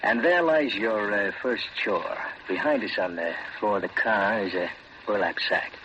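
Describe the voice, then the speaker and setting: An actor playing a shady, calculating gentleman villain, formal and precise with deliberate hesitant pauses, in 1940s radio horror style. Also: lowish voice, background noise, natural voice. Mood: coolly commanding. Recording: muffled vintage radio drama with loud music.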